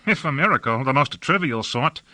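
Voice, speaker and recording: A man's voice: mid-range voice, animated, normal speed, bright, forceful tone, no room sound, pitched above the performer's own voice. A man voicing a nervous, earnest young apprentice, tentative and modest, in an audiobook fantasy. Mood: nervous, hopeful.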